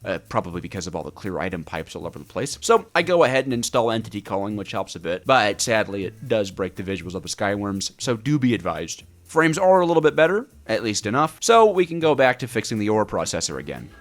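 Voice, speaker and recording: Animated low-voiced man narrating gameplay. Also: quick pace, few pauses. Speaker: gaming video creator and narrator. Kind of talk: casual scripted gameplay narration.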